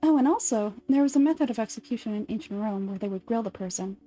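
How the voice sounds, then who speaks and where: A woman voicing a calm, macabre nurse. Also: a little high, soft background music. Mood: macabre, relishing. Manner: soft, measured and lecturing, dwelling on gruesome detail. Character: calm adult female nurse relishing grisly history. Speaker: fan dub voice actor. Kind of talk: anime fan dub acting.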